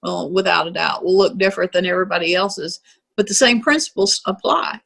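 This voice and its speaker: A woman's voice: lowish voice, fairly quick, creaky, fairly bright tone, breathy edge. A woman taking part in an online art class, speaking thoughtfully and earnestly over a video call. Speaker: class participant. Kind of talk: casual discussion.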